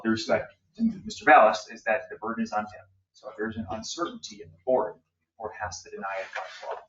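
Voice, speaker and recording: A man advising a zoning board on its legal standard, explaining in a halting way with many fillers. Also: medium pitch, fairly bright tone, a little hiss or hum. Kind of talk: explaining legal procedure at a meeting. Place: village hall meeting room. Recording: echoey room, distant muffled mic.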